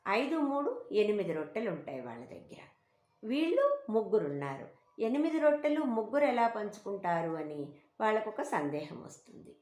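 Female storyteller. Explains a moral tale to children conversationally, average pitch, recorded in an echoing room. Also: sing-song, moderate pace, a little mellow. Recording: roomy, echoing home recording.

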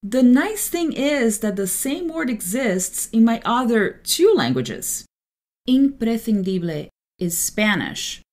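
Lively female podcast host, native Portuguese speaker. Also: a little high. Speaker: translator. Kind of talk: solo podcast monologue.

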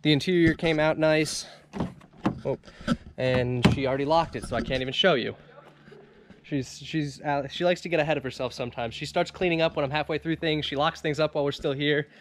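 A man's voice: on the high side, steady tone, fairly quick. A man who runs a car detailing and wrap shop, chatting loosely with lots of fillers as he walks viewers through the day's work, moving in and out of doors with a handheld camera. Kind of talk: casual shop vlog walkthrough.

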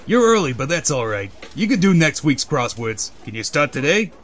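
A man's voice: on the high side, sing-song, normal speed, fairly bright tone. A man playing a hard-driving newspaper chief in a comic-book dub, barking orders in a commanding way. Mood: brusque.

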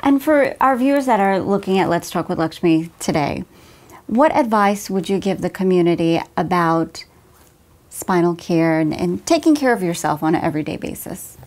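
A woman's voice: fairly low pitch, expressive, moderate pace, darkish tone. A woman in her thirties from Guyana, hosting a talk show interview with questions and thanks. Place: office, seated at a desk.

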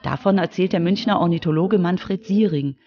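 A woman's voice: lowish voice, normal speed, dull audio. A woman reporter reading scripted narration for a children's radio feature.

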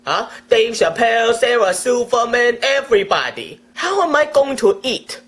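A man's voice: very high-pitched, sing-song, normal speed, exaggerated voice. A man doing a furious ranter. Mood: ranting. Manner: shouting, profane, broken English. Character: hot-tempered foul-mouthed Chinese male video maker. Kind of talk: comic rant to camera.

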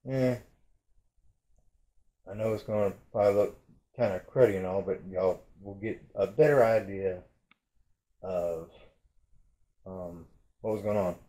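A man's voice: on the low side, warm tone. A middle-aged man vlogging casually from his bedroom, rambling and hesitant, full of fillers and restarts. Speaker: urban exploration vlogger. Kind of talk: casual rambling vlog talk. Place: bedroom at home, indoors. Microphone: webcam or phone at arm's length.

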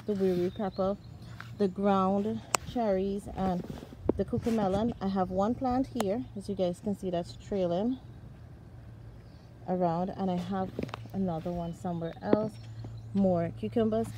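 An excitable woman chats her way around her backyard garden and thinks aloud about what she sees. Her voice sits at an everyday middle pitch. The phone recording picks up a clear outdoor hum behind her. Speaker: gardening vlogger. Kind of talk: casual garden tour walkthrough. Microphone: handheld phone camera. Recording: outdoor ambience with noise.

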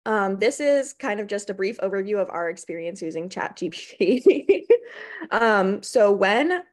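A young American woman giving an informal, explanatory presentation as an academic librarian on a video call, pausing often with ums. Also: medium pitch, sing-song, a little mellow. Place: small indoor room on a video call.

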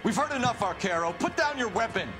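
A man plays a principled police detective in a crime game. He sounds earnest and firm, with a mid-pitched, lively delivery over music. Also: moderate pace, in a large hall, close to an everyday voice. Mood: commanding.

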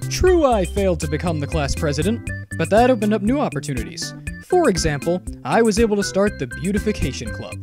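A woman's voice: lowish voice, sing-song, fairly quick. A woman playing an earnest, self-important teenage schoolboy in an anime fan dub, with an indignant, pompous delivery. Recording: background music under dialogue.

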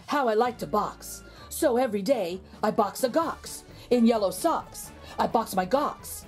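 A woman reading a children's storybook aloud in a deliberate, rhythmic, expressive manner. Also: on the high side. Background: background music.

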